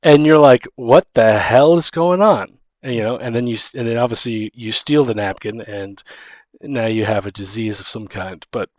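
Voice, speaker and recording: A man talks as a co-host in a loose, casual conversation. His pitch is average and his delivery rambles, halting and starting sentences over. The sound is very dry, with no room around it. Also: a little mellow, no room sound. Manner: rambling, halting, restarts sentences mid-thought. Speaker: podcast co-host.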